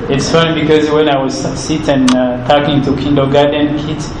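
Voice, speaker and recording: A young man in his twenties with a Rwandan accent, a native Kinyarwanda speaker, answering interview questions earnestly and haltingly, often repeating words as he searches for them. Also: on the high side, little pitch movement. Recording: roomy with background noise.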